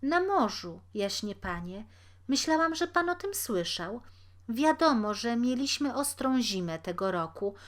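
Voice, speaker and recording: A woman's voice: on the high side, very animated, a little slow. A woman voicing a humble, sad, pious poor old woman in a storybook tale, speaking meekly and deferentially. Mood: earnest recollection. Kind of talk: storybook character reading.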